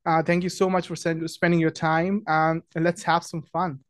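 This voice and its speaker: Young man from Pakistan, friendly webinar host, slightly higher voice, dry close sound, many fillers. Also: level tone, moderate pace, no room sound. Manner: friendly, chatty, many ums and restarts. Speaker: webinar host, product marketing manager. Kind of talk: casual webinar presentation.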